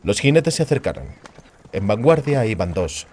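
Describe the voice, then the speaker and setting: A man's voice: lowish voice, big pitch swings, moderate pace, a little mellow, a little hiss or hum. A man narrating a fantasy novel in a scripted, literary audiobook style. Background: music.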